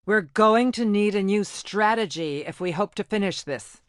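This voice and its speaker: A woman's voice: mid-range voice, normal speed, no room sound, studio quality, natural voice. A woman playing a proud, competitive female gem warrior in a cartoon game, with a haughty, theatrical manner. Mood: proud, brisk. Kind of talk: animated game voice acting.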